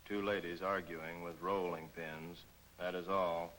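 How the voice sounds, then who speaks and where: A man playing a deadpan, formulaic police radio dispatcher in a 1940s movie serial. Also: deep voice, measured pace, rough recording. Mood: flat, official. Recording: old film soundtrack with faint hiss.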